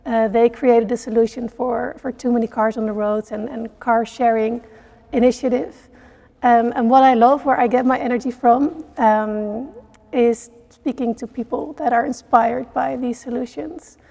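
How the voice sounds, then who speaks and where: Gravelly woman with a dark voice. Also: a little high, fairly even intonation, moderate pace. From Netherlands. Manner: earnest, many fillers. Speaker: CEO of a network for social entrepreneurs. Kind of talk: interview answers.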